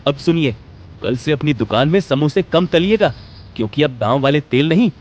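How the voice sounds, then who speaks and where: A man's voice: a little high, expressive, normal speed, muffled recording, lightly acted. A man playing an earnest, humble young village momo seller in a cartoon story, speaking politely. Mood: confident.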